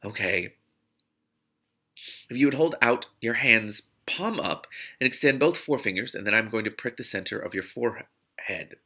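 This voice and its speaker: A man's voice: medium pitch, lively intonation, moderate pace, bright, forceful tone. A man voicing a kindly, earnest woman mystic in a storybook reading, careful and instructive. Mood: gently instructing. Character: kindly, earnest woman mystic who crafts magic wards.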